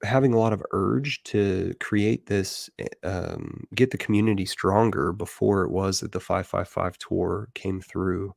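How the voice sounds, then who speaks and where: A man's voice: low-pitched, fairly even intonation, measured pace, creaky, hardly any pauses, darkish tone, no room sound, studio quality. A man hosting a livestream, talking casually and rambling with many fillers. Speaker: livestream host. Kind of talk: casual online chat.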